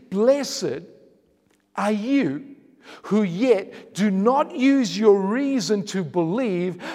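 A man's voice: high voice, darkish tone, in a large hall. An Australian man preaching a fervent sermon as pastor of his church. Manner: fervent, repetitive preaching cadence. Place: church hall.

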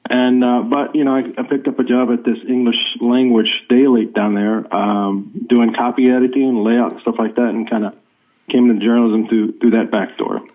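An American man in his forties, a journalist guest, speaking in a rambling, halting way with many fillers. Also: mid-range voice, level tone. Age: 40s. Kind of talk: remote interview. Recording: tinny phone line.

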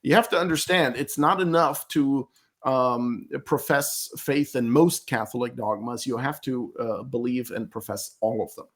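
A man's voice: medium pitch, measured pace. Emphatic man. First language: German. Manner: emphatic, argumentative, often repeats words as he searches. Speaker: guest commentator. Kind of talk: interview commentary.

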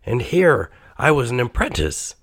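A man's voice: medium pitch, big pitch swings, a little slow, gravelly, a bit bright, dry recording, clean studio sound, pitched above the performer's own voice, heavily acted. A man voicing a greedy, cold-hearted old miser who talks in a snappish, cranky way. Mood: remorseful.

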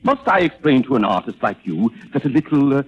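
An actor playing a suave, evasive philandering gentleman in a 1930s radio drama, smooth-talking, pompous and hesitant. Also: mid-range voice, very animated, a little fast. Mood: placating, self-satisfied. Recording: muffled old 1930s radio recording.

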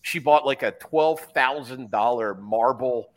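A man's voice: mid-range voice, a little slow. Wry man swapping stories. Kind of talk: casual chat, anecdotes.

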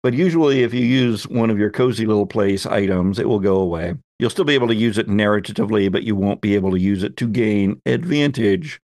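Low-voiced man running a tabletop game. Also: fairly quick, few pauses, darkish tone, dry recording. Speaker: game master and host. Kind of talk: casual tabletop game narration.

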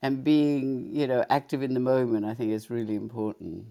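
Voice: mid-range voice, steady tone, moderate pace, creaky, dark tone. An interview host talking in a friendly, chatty way, introducing a guest and asking questions. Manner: friendly, chatty, prone to laughing along. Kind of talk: hosting a casual interview.

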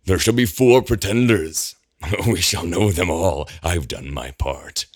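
A man voicing a gravelly, slurring old male prophet. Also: deep voice, takes it slowly, no echo, clean studio sound, voice pushed up, full character voice. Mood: manic. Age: older. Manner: slurred, drunken, manic.

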